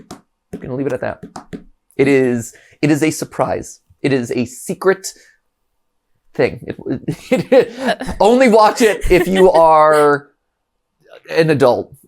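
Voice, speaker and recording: A man's voice: fairly high pitch, lively intonation, slow, pauses often. A man from Ohio in his thirties, hosting a casual reaction show and rambling animatedly, with frequent restarts and chuckles. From Ohio, USA. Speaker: host.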